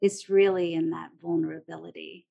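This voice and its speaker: A woman's voice: deep voice, flat delivery, normal speed, vocal fry, dark tone, lo-fi sound. A woman explaining her thoughts conversationally, as an interview guest.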